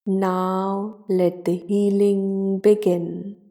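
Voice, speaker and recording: A woman narrating a guided meditation in a soothing, deliberate manner, leaving long pauses between short phrases. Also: mid-range voice, flat delivery, dark tone.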